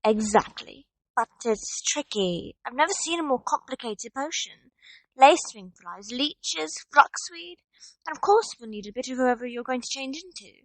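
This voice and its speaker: A woman's voice: high voice, animated, normal speed, no echo, low-quality recording. A woman playing an earnest, bookish schoolgirl witch in an audio play, precise and insistent. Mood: earnest, knowing.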